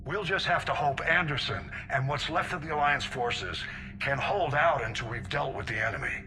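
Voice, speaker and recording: An actor playing an older admiral in a video game, grave, deliberate and authoritative. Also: male voice, medium pitch, expressive, close to an everyday voice. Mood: grave, commanding. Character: commanding older male admiral, grave and determined. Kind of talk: video game cutscene acting.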